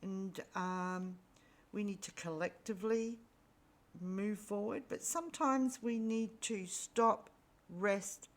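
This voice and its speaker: A woman with a slightly raspy voice, still recovering from losing it, giving an unhurried spiritual energy reading with frequent pauses and ums. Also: medium pitch. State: recovering from lost voice. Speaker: spiritual reader.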